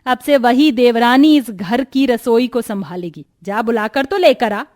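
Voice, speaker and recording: An imperious older mother-in-law from a family moral story, acted by a woman. Her voice sits fairly high. She scolds, taunts and orders people about with open scorn. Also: measured pace, darkish tone, lightly acted. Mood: bossy, taunting. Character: domineering, scornful older mother-in-law, sweet only to favourite daughter-in-law. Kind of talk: dramatised moral story acting.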